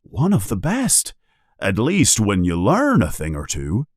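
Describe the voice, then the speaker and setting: A man voicing a big, gruff but kindly male giant groundskeeper in a low, booming voice, in a storybook reading. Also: wildly swooping pitch, a little slow, no room sound, clean studio sound, heavily acted. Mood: warm.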